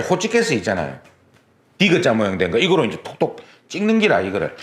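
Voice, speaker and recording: A man's voice: mid-range voice, fairly bright tone. A man teaching an exam prep lecture, repeating key terms and talking through demonstrations. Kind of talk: recorded lecture. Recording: roomy lecture recording, faint noise.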